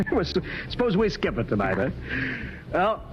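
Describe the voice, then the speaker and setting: An actor playing a skeptical spaceship captain in an old radio drama, reasoning aloud with a hesitant delivery. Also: male voice, fairly high pitch, huge pitch swings, measured pace, muffled recording, slight background noise. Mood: polite, hesitant. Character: skeptical male spaceship captain, polite son back home. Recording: old radio broadcast under loud music. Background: loud music.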